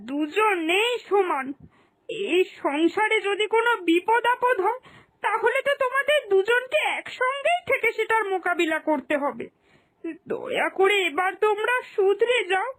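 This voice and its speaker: Woman voicing an earnest, very high-pitched mother-in-law. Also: sing-song, a little slow, fairly bright tone, full character voice. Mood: chiding, pleading. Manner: admonishing, earnest. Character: chiding but loving mother-in-law of the household. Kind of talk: Bengali moral-story cartoon dubbing.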